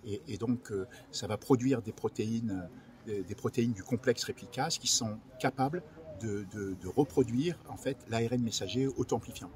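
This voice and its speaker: A man's voice: mid-range voice, moderate pace. A man giving technical explanations as an interview guest, hesitating and repeating himself as he goes. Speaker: research director in biology, interview guest. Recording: loud ambient background noise.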